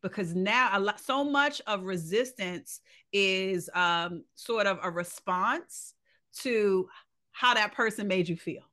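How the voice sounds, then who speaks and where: A woman's voice: mid-range voice, takes it slowly, sharp tone. A woman co-hosting a podcast, talking earnestly and emphatically in a reflective conversational monologue, often posing rhetorical questions. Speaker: podcast co-host.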